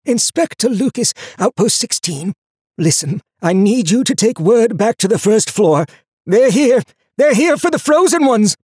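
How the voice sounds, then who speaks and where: A man voicing a frightened, ragged male traveler in an audiobook, hoarse and whispery, urgent and pleading. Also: high-pitched, big pitch swings, slow pace, dry recording, studio quality, pitched above the performer's own voice, heavily acted. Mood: frantic urgent. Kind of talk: audiobook character acting.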